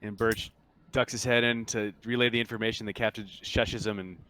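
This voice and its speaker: A man's voice: lowish voice, flat delivery, fairly quick, sharp tone, clear, resonant tone. A man narrating casually as game master of a tabletop roleplaying game.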